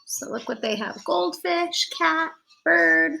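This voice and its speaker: A woman reading a picture book aloud to young children in an expressive storytelling way, speaking directly to her listeners. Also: very high-pitched, slow, creaky, low-quality recording.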